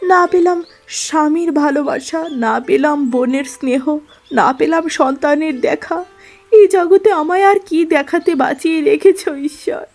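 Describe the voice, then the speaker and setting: A woman voicing a gentle, sorrowful elder sister in a melodramatic cartoon story, plaintive and self-pitying. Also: high voice, a little slow, soft background music.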